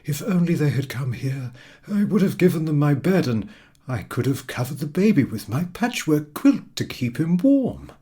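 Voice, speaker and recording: A man voicing a kindly, warm-hearted old shoemaker in a storybook, earnest and given to exclaiming. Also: on the high side, big pitch swings, normal speed, slightly rough, voice pushed up. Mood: earnest, wishful. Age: older. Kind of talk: storybook reading.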